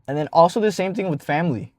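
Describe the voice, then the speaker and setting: A man's voice: a little high, fast, no echo, clean studio sound. A young man with a stutter telling a casual personal story as a vlogger, with many fillers and restarts.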